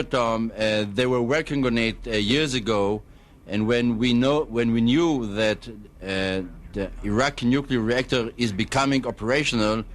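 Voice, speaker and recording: A man answering radio interview questions as an official spokesman, in a measured, diplomatic, halting way. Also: mid-range voice. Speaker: embassy spokesman, interview guest.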